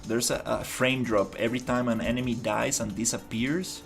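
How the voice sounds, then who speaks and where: A man's voice: medium pitch, fairly even intonation, moderate pace, creaky, soft background music. A man from Rica, casually talking through his game development on a livestream, rambling and restarting as he thinks aloud. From Costa Rica. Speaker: indie game developer streaming his work. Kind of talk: casual livestream commentary while working.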